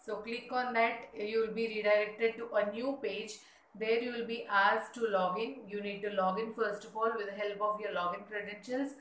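A woman's voice: medium pitch, fairly even intonation, moderate pace, fairly bright tone. A young adult woman hosting an education vlog, explaining steps steadily with frequent "uh" fillers. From India. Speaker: education channel host. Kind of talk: informational vlog. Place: small indoor room. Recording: echoey room with faint ambience.

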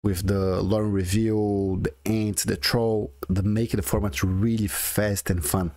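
A man's voice: on the low side, level tone, slow, a little mellow, no room sound, studio quality. A man in his forties with a strong Brazilian Portuguese accent, chatting and thinking aloud as he comments on his own card game. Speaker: gaming video creator. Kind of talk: casual gameplay commentary.

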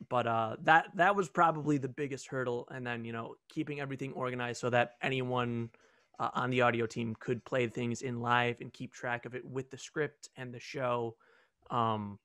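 A man's voice: mid-range voice, a bit bright, dry recording, clean studio sound. A young man answering casually on a video call Q&A as a student audio team member. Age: young adult.

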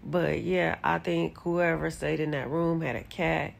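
Low gravelly-voiced woman chatting in bed. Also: measured pace, a little hiss or hum. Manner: relaxed, chatty and candid, with sudden exasperated rises. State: congested from allergies. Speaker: vlogger. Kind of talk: casual chat to camera. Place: bedroom, sitting on a bed indoors. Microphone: phone camera held close.

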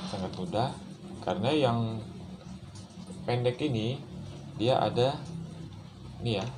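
A man giving a casual hands-on tutorial, explaining step by step in an informal, halting way. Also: medium pitch. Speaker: motorcycle vlogger presenting a how-to. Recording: noticeable background noise, room ambience.

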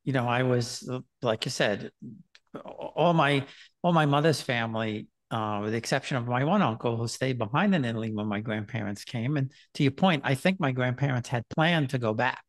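A man's voice: mid-range voice, normal speed. Older man, hesitant conversational host. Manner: rambling, hesitant with many "um" and "uh". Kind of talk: casual conversation. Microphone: headset on video call.

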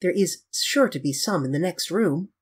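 A male narrator plays a languid, petulant young gentleman in a storybook reading. The voice is lifted high and swings freely in pitch, with an airy, affected, dismissive manner. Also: expressive, moderate pace, husky, heavily acted.

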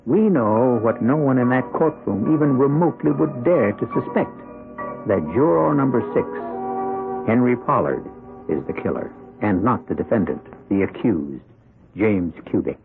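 Mystery host: ominous wry storyteller, gravelly low voice with sweeping intonation, dramatic pauses, old radio sound. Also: male voice, lively intonation. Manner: theatrical, deliberate, with dramatic pauses. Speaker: host and narrator. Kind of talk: 1970s radio mystery narration. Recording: muffled 1970s radio broadcast, music behind.

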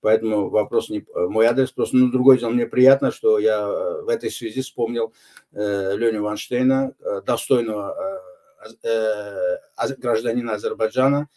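An older man with a low, mellow voice answers an interviewer's questions. He speaks slowly and deliberately, often pausing to hesitate before going on. His answers are calm and unhurried. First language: Azerbaijani. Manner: measured, unhurried, frequent hesitations. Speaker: interview guest. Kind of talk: recorded online interview answers.

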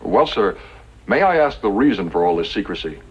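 A man's voice: lowish voice, big pitch swings, fairly quick, long reverb tail. A man acting a steadfast, defiant army lieutenant in a 1950s radio western, with a firm, clipped manner. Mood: respectful. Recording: muffled vintage radio recording.

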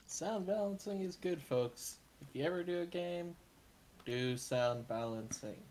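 A man with a booming voice talks his way through a game stream, loose and rambling, cursing casually. Faint game ambience sits under his voice. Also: expressive, a little slow, a little mellow, slight background noise. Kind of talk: casual gaming stream chat.

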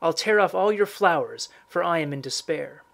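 A desperate storybook mother, as a man reads her, sorrowful and devoted. The voice is on the high side for a male and carries an earnest, pleading manner. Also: expressive, normal speed, creaky, fairly bright tone.

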